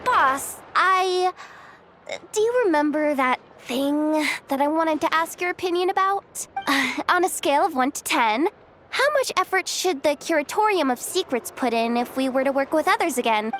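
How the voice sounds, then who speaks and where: A woman's voice: high-pitched, lively intonation, moderate pace, fairly bright tone, a little hiss or hum. A woman playing a perky, inquisitive young female subordinate in a video game, chatty and pushy with questions. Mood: puzzled, curious. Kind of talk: video game character acting.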